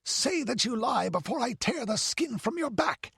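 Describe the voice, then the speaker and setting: A man's voice: very high voice, sing-song, normal speed, gravelly, fairly bright tone, slightly rough, dry recording, clean studio sound, voice pushed up, full character voice. A man voicing a frenzied, tyrannical count in an audiobook, with a snarling, shrieking fury. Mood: enraged, accusing. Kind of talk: audiobook dramatic character voice.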